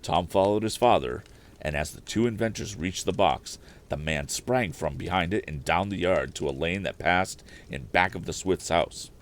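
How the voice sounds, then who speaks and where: A man reading an old adventure novel aloud as a storyteller on a live stream, in an expressive audiobook style. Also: deep voice, normal speed, slight background noise.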